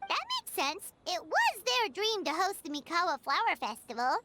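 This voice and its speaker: A woman voicing a small, cheerful, curious childlike fairy companion in a video game, with a squeaky, bubbly voice. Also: big pitch swings, normal speed, sharp tone, slight background noise, exaggerated voice. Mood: curious, upbeat. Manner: bubbly, exclamatory. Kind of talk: video game character acting.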